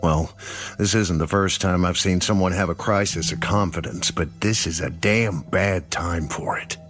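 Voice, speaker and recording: An actor playing a grim, blunt old sheriff in a horror audio drama, gruff, terse and commanding. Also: male voice, deep voice, moderate pace, fairly bright tone, soft background music. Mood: stern, grim.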